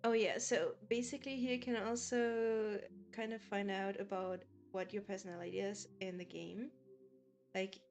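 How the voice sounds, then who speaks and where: A woman's voice: mid-range voice, flat delivery, normal speed, gravelly, darkish tone. A woman from Germany with a German accent, chatting casually with viewers as a game streamer and laughing often. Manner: giggly, chatty, often laughs between sentences.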